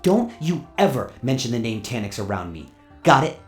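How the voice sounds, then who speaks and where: A man's voice: medium pitch, big pitch swings, moderate pace, faint music behind, lightly acted. A man voicing a brash, wisecracking, short-tempered robot gunslinger in a radio play, in a cocky, brusque way. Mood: angry. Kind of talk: radio play character acting.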